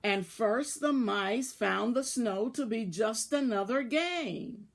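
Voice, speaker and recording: A woman reading a children's storybook aloud as an expressive narrator. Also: fairly high pitch, takes it slowly. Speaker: storybook reader.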